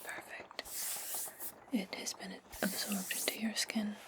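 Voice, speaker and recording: Casting: female performer for a domineering witch, breathy whisper, slow and coldly menacing, ASMR fantasy roleplay. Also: mid-range voice, little pitch movement, lightly acted. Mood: cold, disdainful. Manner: slow, commanding, coldly threatening. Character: menacing, domineering witch commanding an indebted servant.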